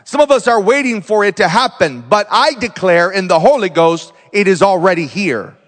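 A man preaching as a visiting missionary, telling healing stories and declaring loudly, rising to exclamations. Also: high-pitched, normal speed, long reverb tail. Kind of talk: pentecostal sermon.

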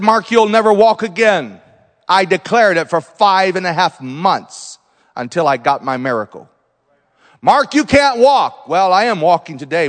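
A man preaching as a visiting missionary, telling healing stories and declaring loudly, rising to exclamations. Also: high-pitched, big-room sound. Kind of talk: pentecostal sermon.

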